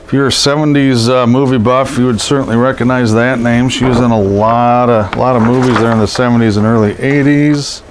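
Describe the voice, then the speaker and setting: A man's voice: medium pitch, fairly even intonation, brisk, few pauses. Middle-aged man, scratchy and congested from a cold, loose chatty delivery with filler words. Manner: rambling, lots of ums and uhs. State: stuffed up from a head cold. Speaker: collectibles seller. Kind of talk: casual show-and-tell narration. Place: indoors over boxes of posters.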